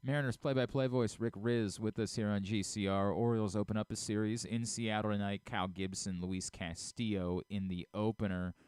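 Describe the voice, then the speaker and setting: A man's voice: fairly low pitch, steady tone, a little fast, few pauses, darkish tone, clear, resonant tone, dry recording. An American man hosting a sports radio show, putting questions to a guest in a conversational style. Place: dry radio studio.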